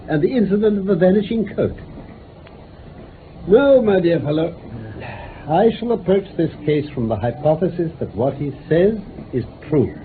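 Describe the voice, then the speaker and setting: An actor playing a self-assured gentleman detective, precise and dryly ironic, in a radio drama. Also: a little high. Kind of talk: 1960s British radio drama acting. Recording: tinny, band-limited old radio recording with hiss.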